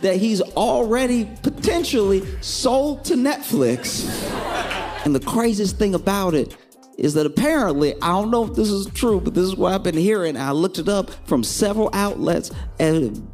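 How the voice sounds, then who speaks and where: A man's voice: sits high, animated, moderate pace, a little mellow, faint music behind. A man narrating a commentary video in a chatty, amused way, laughing through his lines.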